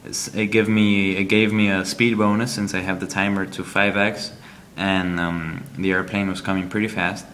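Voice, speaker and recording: A man reviewing a game app, walking through its screens in a halting, explanatory way with frequent ums. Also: low voice, little pitch movement, fairly bright tone, slight background noise.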